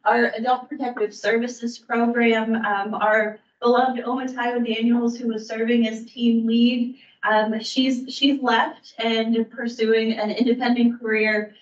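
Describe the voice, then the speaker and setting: A woman who works for a county aging services bureau, giving a staff update at a public meeting in a measured way, with frequent ums and restarts. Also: fairly high pitch, little pitch movement. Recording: distant roomy mic, dull sound.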